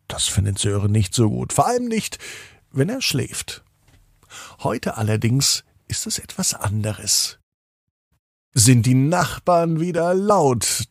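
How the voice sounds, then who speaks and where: A man reads a bedtime story to children at an unhurried pace, his pitch moving expressively. The voice is soft-edged and husky, gently delivered in a scripted storytelling style. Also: dry recording, studio quality. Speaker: children's bedtime story podcast host and narrator.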